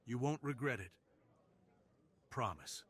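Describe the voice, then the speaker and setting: A suave crime boss, acted out by a man in an ASMR roleplay. He is flirtatious and dominant, teasing with total self-assurance. The voice has a husky edge. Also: mid-range voice, expressive, slow, fairly bright tone, pitched above the performer's own voice, exaggerated voice. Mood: bold, seductive.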